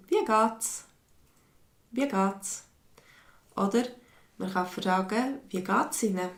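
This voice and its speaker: A woman's voice: medium pitch, gravelly. A Swiss woman with a mixed Swiss German accent, giving a patient online language lesson and answering viewers' questions. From Switzerland. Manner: slow, patient, reads viewers' comments aloud. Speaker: language teacher. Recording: echoey room.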